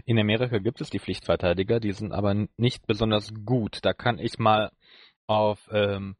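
Low-pitched German man, dry close sound. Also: steady tone, normal speed, no echo. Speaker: podcast co-host. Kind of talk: casual discussion of audio drama.

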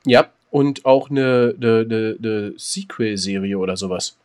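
A man's voice: on the low side, measured pace, a little mellow. A man co-hosting a podcast in casual chat, hesitant and repeating words as he gives opinions. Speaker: podcast co-host.

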